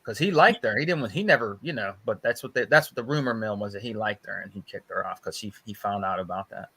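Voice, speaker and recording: A man's voice: lowish voice, fast talker, fairly bright tone, slightly rough. A man chatting casually and telling stories as a podcast co-host, repeating phrases for emphasis and often saying bro. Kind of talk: casual chat, gossiping and storytelling.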